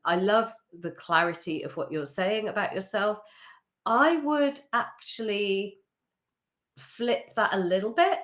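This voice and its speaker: A woman hosting an interview, giving lively, chatty feedback on branding with frequent laughs. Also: mid-range voice, unhurried. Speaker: host.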